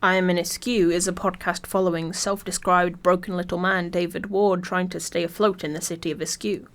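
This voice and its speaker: A woman's voice: fairly low pitch, level tone, fairly quick. A woman reading a scripted video essay in an informal way, with wry, dry asides. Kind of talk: scripted video essay narration with casual humour.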